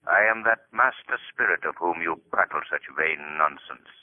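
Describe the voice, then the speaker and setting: An actor playing an imperious centuries-old master spirit, solemn and commanding with archaic phrasing, in a 1940s radio drama on a tinny recording. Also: male voice, low voice, expressive, moderate pace, no echo. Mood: scornful, imperious.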